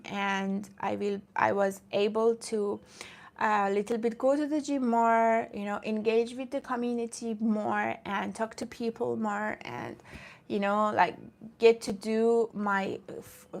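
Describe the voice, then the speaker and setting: A woman's voice: fairly high pitch, slow, fairly bright tone. A woman from Iran who streams about fitness, chatting casually with viewers in a rambling way and often breaking off to greet commenters.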